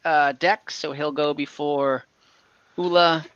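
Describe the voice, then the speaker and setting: Bright-voiced, higher-pitched man as game master. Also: fairly even intonation, slow, clear, resonant tone, no room sound, muffled recording. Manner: casual, informal. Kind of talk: running an online tabletop game.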